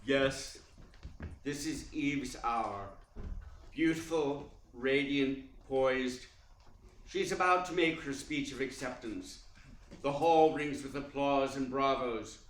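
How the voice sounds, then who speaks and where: A man playing a slow, wry theater critic. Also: mid-range voice, slight background noise, close to an everyday voice. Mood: sardonic, musing. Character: cool, wry male theater critic narrating. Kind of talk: radio play narration. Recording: echoey room.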